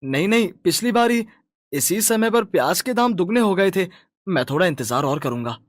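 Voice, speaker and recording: A man's voice: high-pitched, animated, normal speed, no room sound, clean studio sound. A man voicing a greedy, boastful, stubborn village farmer and husband in a cartoon moral story, talking in a rustic, dismissive way.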